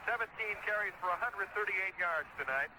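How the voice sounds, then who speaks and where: An American man works as a radio play-by-play announcer, his voice pitched a little high. He describes live football in clipped phrases. The 1970s broadcast recording has clear noise behind him. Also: a little fast.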